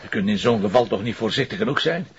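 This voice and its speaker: An actor playing a sardonic, grumbling middle-aged police detective with a Flemish accent, in a naturalistic crime drama. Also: male voice, lowish voice, animated, quick pace, lo-fi sound. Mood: indignant. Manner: gruff, sarcastic, exasperated.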